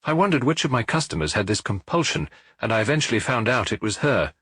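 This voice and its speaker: A man's voice: medium pitch, very animated, fast talker, fairly bright tone, husky, no room sound, studio quality. A man voicing a talkative, forthcoming bookshop owner in the dialogue of a detective audiobook. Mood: bemused, explanatory. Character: talkative Parisian bookshop owner recalling a reserved customer.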